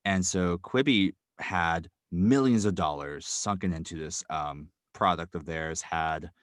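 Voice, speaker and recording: A young man, a guest writer, speaking casually and quickly with many fillers like "um" and "like.". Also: low voice, no room sound, clean studio sound. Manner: quick, filler-heavy, thoughtful. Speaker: guest, audio drama writer. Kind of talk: casual interview talk.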